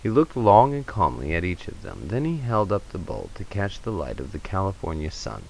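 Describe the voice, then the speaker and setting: A man's voice: sits low, big pitch swings, normal speed, gravelly, a little mellow, rough recording. A man narrating a story in a dramatic storybook style.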